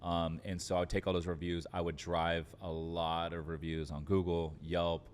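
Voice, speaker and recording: A man's voice: low-pitched, flat delivery, moderate pace, a little hiss or hum. A man giving conversational business advice as an entrepreneur guest on a podcast, informal and sprinkled with filler words. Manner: informal, frequent fillers like "like" and "literally".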